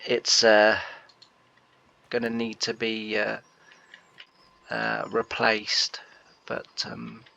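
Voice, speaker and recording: Nasal man with a gravelly voice. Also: lowish voice, completely flat delivery, very slow, long pauses, pushed and bright. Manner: rambling, many fillers and restarts. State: nasal from a cold. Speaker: gameplay host. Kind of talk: casual gameplay commentary.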